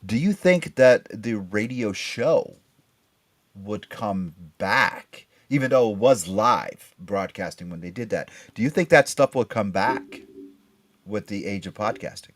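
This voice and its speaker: A man's voice: fairly low pitch, lively intonation, a little slow. A man hosting a casual pop culture chat show, talking in an enthusiastic, emphatic, rambling way. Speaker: podcast and radio show host.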